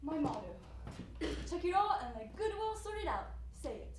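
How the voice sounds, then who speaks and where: A woman's voice: very high-pitched, big pitch swings, normal speed, far from the mic. A woman acting a brutal, bossy professional closet organizer in a stage comedy, with a commanding, clipped, sarcastic delivery. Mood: scornful, lecturing. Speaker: stage actor in a one-act play. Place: indoor stage before an audience, distant microphone. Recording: echoey, noisy stage recording from afar.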